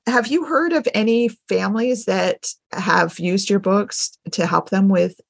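Husky, creaky-voiced American woman. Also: medium pitch, a little slow, no echo, studio quality. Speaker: podcast host, teacher. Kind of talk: casual interview chat.

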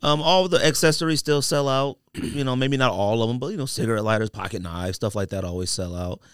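A man's voice: medium pitch, a little fast, smooth, dry recording, clean studio sound. A man co-hosting a casual podcast, talking in slangy, emphatic bursts and repeating phrases to drive a point home. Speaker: podcast host. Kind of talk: casual sneaker podcast banter.

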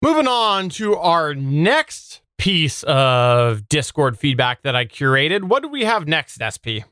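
A man's voice: a little high, very animated, takes it slowly, sharp tone, no echo, studio quality. A man hosting a podcast in a casual, conversational style, moving through segments and listener feedback. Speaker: podcast host.

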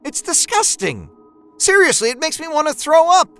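A man's voice: very high-pitched, lively intonation, takes it slowly, faint music behind, pitched above the performer's own voice, full character voice. A man voicing an exasperated adult wife who scolds her husband bluntly. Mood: scolding exasperated. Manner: sharp, scolding.

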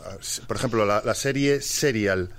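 A man's voice: fairly low pitch, moderate pace, fairly bright tone. A man, interviewing casually and rephrasing his questions hesitantly as he goes.

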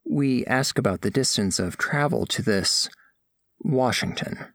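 A man's voice: medium pitch, animated, a little slow, creaky, a bit bright, dry recording. A man playing an earnest, formal alien scholar, narrating a scripted audio drama in precise, literal wording. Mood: formal, explanatory. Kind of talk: scripted first-person narration.

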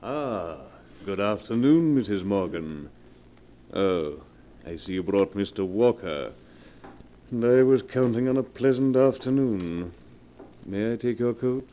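Smug, low, mellow-voiced blackmailer. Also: male voice, expressive. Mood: smug politeness. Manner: mock-courteous, unhurried, taunting. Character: smug, suavely menacing male blackmailer. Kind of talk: 1940s radio acting.

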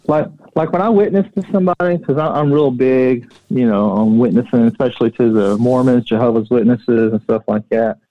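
A middle-aged man telling personal stories as an interview guest, plain-spoken and halting, often repeating words. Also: mid-range voice, no room sound. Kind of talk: casual personal storytelling. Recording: dull, muffled but dry audio.

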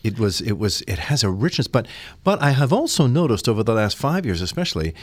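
A man's voice: mid-range voice, sing-song, brisk, no room sound. A middle-aged man hosting an arts interview, asking long, articulate and admiring questions. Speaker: interviewer, host.

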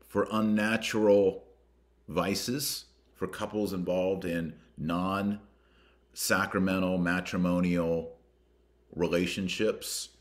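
A man with a low voice hosts a religious commentary podcast. He moves between reading aloud and commenting, leaning on key words with deliberate emphasis and using rhetorical questions. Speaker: podcast host.

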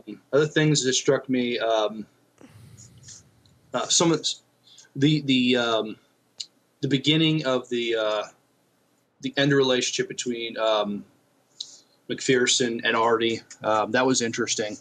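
A man with a mid-range voice co-hosts an informal podcast. He works through his thoughts in a halting, reflective manner, as in a casual discussion. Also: mid-range voice, steady tone, fairly bright tone. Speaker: podcast co-host.

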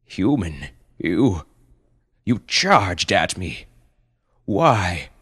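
A man voicing a giant male panda leader in a storybook tale, speaking in a slow, stately and grandiose way. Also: sits low, big pitch swings, fairly bright tone, slightly rough, full character voice. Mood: solemn, measured.

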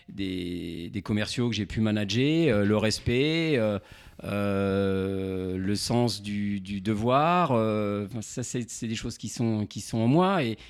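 A man in his fifties from Normandy, answering as an interview guest, often pausing and repeating words as he searches for phrasing. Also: fairly low pitch, darkish tone, no room sound. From Normandy, France. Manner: hesitant, halting, repeats words while searching. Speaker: interview guest, insurance broker. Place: indoors, radio studio.

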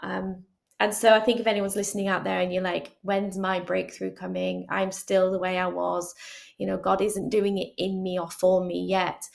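Earnest woman with a gravelly voice. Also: fairly low pitch, level tone, moderate pace. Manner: earnest, restarts phrases while thinking aloud. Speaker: guest, charity chief executive. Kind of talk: reflective faith conversation.

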